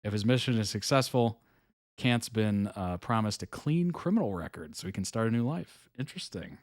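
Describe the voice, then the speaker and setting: A man's voice: fairly low pitch, lively intonation, normal speed, dry recording, clean studio sound. A man hosting a live reaction video, reading captions aloud and adding amused, casual comments with frequent laughs. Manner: reads on-screen captions aloud, then reacts with amused asides; laughs often between remarks.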